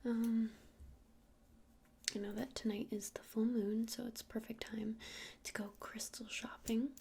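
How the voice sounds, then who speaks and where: A woman whispering softly and breathily in an ASMR roleplay as an easygoing witch shopkeeper, casual and a little hesitant. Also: a little high, little pitch movement, a little slow, slightly rough. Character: friendly, easygoing witch running a crystal shop.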